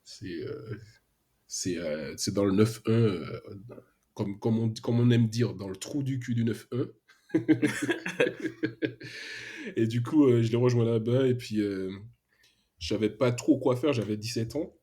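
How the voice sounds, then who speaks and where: A man's voice: medium pitch, measured pace, pauses often, dark tone, husky. A young man from Martinique with an Antillean accent, telling his story casually in a podcast interview, with lots of hesitations and slang. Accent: Antillean French. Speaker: podcast guest, freelance web developer.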